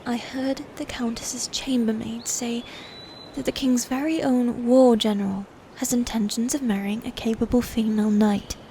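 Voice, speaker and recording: A woman's voice: on the high side, steady tone, warm tone, a little hiss or hum, lightly acted, poor audio. A woman playing a proud, playfully cruel female knight in a scripted medieval fantasy audio roleplay, with theatrical, formal old-world phrasing. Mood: scheming. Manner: theatrical, archaic formal phrasing, slow and deliberate.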